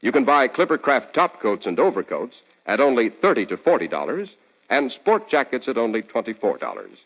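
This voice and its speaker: A man with a mid-pitched, very animated voice announces in 1940s radio style. His delivery is scripted, polished and salesmanlike. It comes through as a muffled, reverberant old broadcast. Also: brisk, breathy edge.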